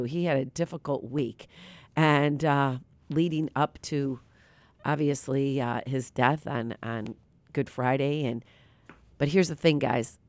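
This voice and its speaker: Nasal, tired radio host. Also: mid-range voice. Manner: earnest, rambling, with many fillers. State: tired from little sleep. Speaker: radio talk show host. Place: radio studio.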